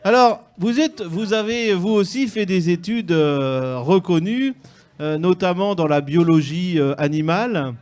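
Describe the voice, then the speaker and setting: A man's voice: sits high, unhurried, a little mellow. A man hosting a mock radio show at a holiday village, in a pompous announcer tone with frequent hesitations. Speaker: host. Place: holiday village hall with audience.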